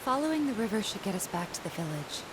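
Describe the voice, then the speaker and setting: A woman playing a spirited, sweet young mountain guide in a video game cutscene, expressive and earnest. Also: mid-range voice, fast, background noise, natural voice. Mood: subdued.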